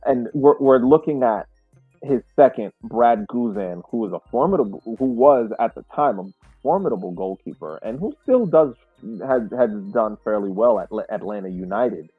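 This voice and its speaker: A man hosting a casual soccer podcast discussion, speaking haltingly and repeating words as he reflects. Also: medium pitch, animated, breathy edge, soft background music. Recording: muffled, roomy sound.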